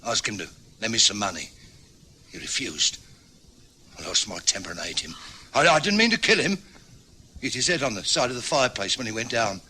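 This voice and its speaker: A guilt-ridden English husband, frantic and stammering, lively bright voice, faint hiss of an old radio broadcast. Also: male voice, fairly low pitch, brisk, pauses often, full character voice. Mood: confessing. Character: guilt-ridden older husband hiding a killing. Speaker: husband George. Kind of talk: radio drama acting.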